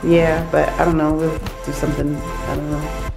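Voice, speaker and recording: A woman's voice: sits low, level tone, moderate pace, vocal fry, over music, poor audio. A woman in her thirties, a mother giving a casual, chatty tour of an empty house from a handheld camera, laughing often. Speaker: vlogger, mother.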